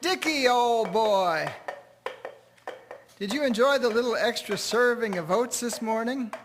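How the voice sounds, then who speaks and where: A man's voice: very high voice, measured pace, slight background noise. A man playing a cheerful, courteous small-town machinery dealer in a period radio drama, genial and old-fashioned in manner. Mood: fond.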